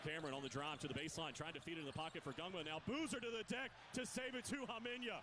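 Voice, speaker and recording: A man doing radio play-by-play of a basketball game, rapid and rising sharply on big plays. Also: fairly high pitch, noisy background. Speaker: play-by-play announcer.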